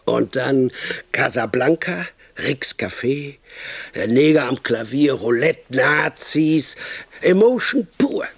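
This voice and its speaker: Radio comedy: crude, self-important film producer, bossy and rambling, unhurried delivery in a dry studio sound. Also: male voice, medium pitch, expressive, creaky, husky, no echo, exaggerated voice. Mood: enthused, sentimental. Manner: bossy, rambling, self-enthused, with hesitations and tag questions. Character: pompous, crude, impatient German male film producer pitching movie ideas. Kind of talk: radio comedy monologue, improvised-sounding pitch.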